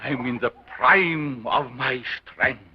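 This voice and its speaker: An actor playing a grandiose sideshow scientist who declaims in a pompous, theatrical way, on a dull old film soundtrack. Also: male voice, medium pitch, takes it slowly, slight background noise, natural voice. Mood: solemn. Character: grandiose male carnival scientist, commanding and theatrical.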